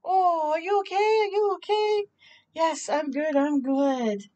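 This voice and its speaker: A middle-aged woman with a high-pitched voice reads a picture book to children. Her pace is unhurried, with expressive exclamations and chatty asides to young listeners. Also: highs cut off. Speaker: children's storytime host. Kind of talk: animated read-aloud with chatty asides for children. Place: outdoor balcony near a park.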